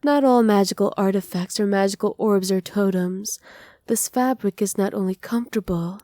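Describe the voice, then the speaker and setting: A woman playing a doting, possessive witch in an ASMR roleplay, speaking softly with teasing, condescending affection. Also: mid-range voice, dry recording, clean studio sound, natural voice. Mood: doting, proud. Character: doting, possessive witch mistress pampering her captive pet. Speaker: voice actor.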